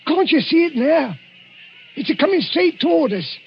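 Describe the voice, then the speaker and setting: An actor playing a frightened, grumbling Cockney grave robber, nervous and whining, in 1940s radio drama style. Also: male voice, very high-pitched, big pitch swings, normal speed, heavily acted. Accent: English Cockney. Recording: muffled noisy 1940s radio broadcast.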